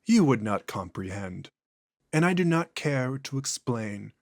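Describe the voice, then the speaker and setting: A man voicing a cold otherworldly entity that speaks slowly and with detached, imperious disdain. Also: medium pitch, very animated, darkish tone, no room sound, studio quality.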